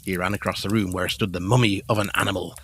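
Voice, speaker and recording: A man narrating a scripted gothic story in a measured storyteller's manner. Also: low voice, steady tone, fairly bright tone.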